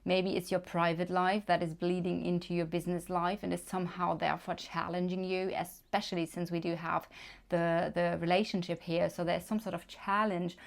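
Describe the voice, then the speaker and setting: A woman's voice: fairly low pitch, little pitch movement, moderate pace, creaky. A woman with a German accent, reading tarot cards to camera in a chatty way, thinking aloud with many fillers. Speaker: tarot reader. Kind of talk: casual card reading to camera.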